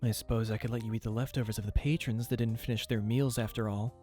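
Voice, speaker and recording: A man's voice: on the low side, fairly even intonation, fast talker, warm tone, faint music behind, close to an everyday voice. A man voicing an arrogant, demanding male chef while reading game dialogue aloud. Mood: condescending.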